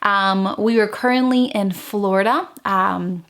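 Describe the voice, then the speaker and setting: A woman's voice: mid-range voice, unhurried, a bit bright. A woman from Venezuela in her thirties chats casually to her channel. She talks in a chatty way, with many fillers and restarts. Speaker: vlogger. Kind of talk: casual chat to camera.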